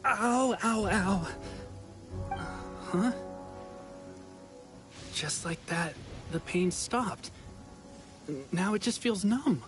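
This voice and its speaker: A wounded young male soldier from a video game. He is wry and appreciative, speaking slowly in a slightly high voice. Music runs behind the words. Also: expressive, exaggerated voice. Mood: pained, surprised.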